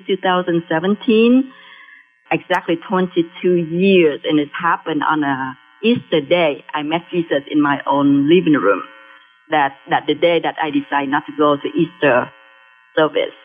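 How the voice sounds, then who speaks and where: A middle-aged woman from Vietnam with a Vietnamese accent, a guest sharing her faith testimony in halting speech that restarts phrases. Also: sits low. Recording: tinny phone line with faint music.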